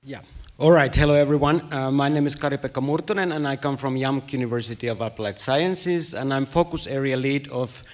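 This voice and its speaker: A man who grew up in Tanzania, a university focus area lead, speaking on a panel in a relaxed way, thinking aloud with restarts. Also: mid-range voice, a little fast. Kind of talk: panel introduction and answer.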